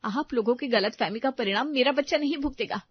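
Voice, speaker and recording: A woman's voice: on the high side, a little fast, husky, no echo, highs cut off. A woman voicing an anguished but defiant young widowed mother in a melodramatic audio story, emotional and protesting.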